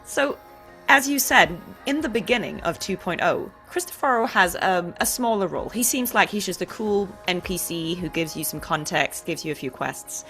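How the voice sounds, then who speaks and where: A woman's voice: lowish voice, animated, moderate pace, a bit bright, soft background music. A woman hosting a conversational interview, reflective and thinking aloud through long questions. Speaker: interview host and fellow voice actor.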